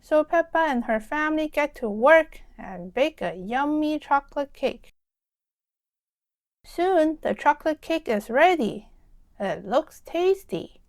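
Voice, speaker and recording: A woman narrates a children's storybook. Her voice is high and her pace slow and deliberate, expressive for young listeners, in a dry, close recording. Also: no room sound. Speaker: children's read-aloud storyteller.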